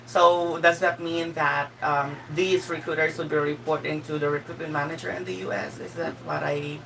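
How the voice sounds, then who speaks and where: A woman with a Filipino accent talking casually and politely, with halting, hesitant phrasing. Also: deep voice, steady tone. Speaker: vlogger and job applicant. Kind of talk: casual, polite phone conversation and vlog talk. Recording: distant mic, clearly noisy background.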